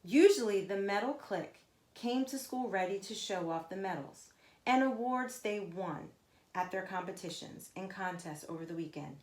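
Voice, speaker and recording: A woman reading a children's story aloud like a teacher, with emphatic, expressive narration addressed to young listeners. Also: mid-range voice, normal speed. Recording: echoey, roomy sound.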